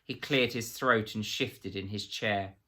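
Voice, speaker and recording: A man reading a children's novel aloud as scripted, expressive narration. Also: lowish voice, unhurried, breathy edge. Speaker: story reader.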